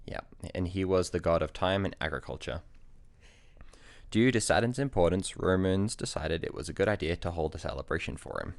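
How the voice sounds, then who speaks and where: A man with a very deep voice co-hosts a casual family podcast. He tells jokes and history stories in a relaxed, deadpan way, recorded close and dry with no room sound. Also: fairly quick, no echo. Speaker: podcast co-host. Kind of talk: casual chat and storytelling.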